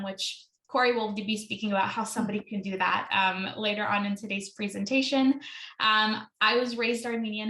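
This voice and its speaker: A woman's voice: fairly high pitch, pushed and bright, roomy sound, poor audio. A woman in her thirties, an immigration attorney, presenting a webinar in a chatty way with many ums and self-corrections.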